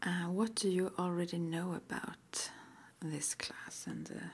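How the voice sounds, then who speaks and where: Whispering woman with a creaky edge. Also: fairly low pitch, measured pace. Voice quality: breathy, mostly unvoiced whisper. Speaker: ASMR roleplay performer as witch school interviewer. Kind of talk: whispered ASMR roleplay interview.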